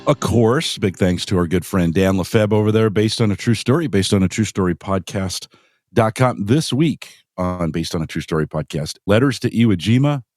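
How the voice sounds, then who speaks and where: A man co-hosting a podcast in casual chat, his voice deepened by a cold. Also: expressive, normal speed, darkish tone, no room sound, studio quality. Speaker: podcast co-host.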